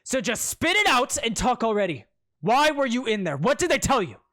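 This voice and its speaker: A man's voice: high-pitched, sing-song, moderate pace, voice pushed up. A storyteller voicing a haughty, spoiled young male prince who huffs and sneers. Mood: demanding. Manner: huffy, sneering and indignant.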